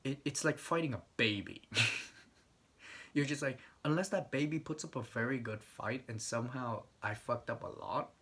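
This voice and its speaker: Young man, casual rambling monologue, frequent swearing and restarts, mid-pitched voice, faint background hiss. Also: moderate pace, a bit bright.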